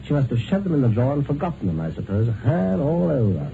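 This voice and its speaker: Bluff gentleman, hissy old radio. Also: mid-range voice, big pitch swings, fast, vocal fry. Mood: startled. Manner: bluff, genial, clipped. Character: hearty, unsuspecting upper-class husband and dinner host. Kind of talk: 1950s radio drama acting. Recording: noisy, narrow-band 1950s radio broadcast.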